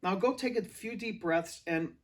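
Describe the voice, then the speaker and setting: An angry school drama director as a male reader acts him out, barking orders in a raised, fairly high voice. He sounds stressed and impatient, as in a storybook read aloud. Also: normal speed, fairly bright tone. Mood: angry, urgent. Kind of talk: audiobook-style character reading.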